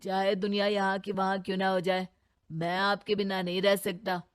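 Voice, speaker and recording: A woman's voice: medium pitch, completely flat delivery, moderate pace, clean tone, lightly acted. A woman voicing a meek, devoted young husband in a cartoon story, earnest and pleading.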